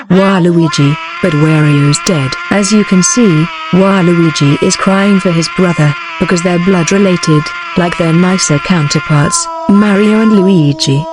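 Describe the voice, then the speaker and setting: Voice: mid-range voice, noisy background. A news announcer reading scripted emergency bulletins as a narration.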